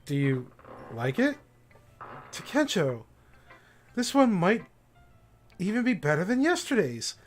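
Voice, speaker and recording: A man's voice: high-pitched, big pitch swings, slow, pauses often. A man livestreaming a visual novel, casually commenting and reading the game text aloud, with game music behind him.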